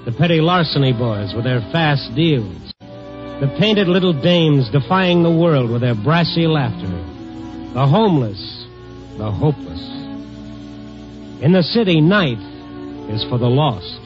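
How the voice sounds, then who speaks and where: A man's voice: a little high, big pitch swings, measured pace, pauses often, music in the background. A man playing a world-weary night reporter, narrating in a laconic, hard-boiled 1950s radio style. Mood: brooding. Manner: laconic, wry first-person narration. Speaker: lead actor of a radio drama. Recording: dull lo-fi old radio recording.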